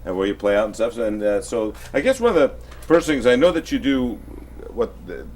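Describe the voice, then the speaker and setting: A man hosting a music show, interviewing guests in a casual, chatty way with halting, rambling questions. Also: medium pitch, animated, darkish tone, a little hiss or hum.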